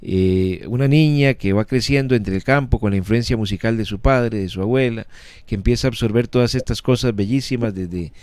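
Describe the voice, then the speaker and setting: A man hosting a radio interview, asking formal, measured questions with frequent hesitant fillers. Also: lowish voice, a little mellow, clean tone, dry recording.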